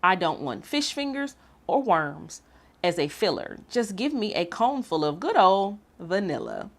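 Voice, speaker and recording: A woman's voice: lowish voice, big pitch swings, measured pace, exaggerated voice. Woman as gorilla, firm, plain-spoken, storybook character. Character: gorilla wanting plain vanilla.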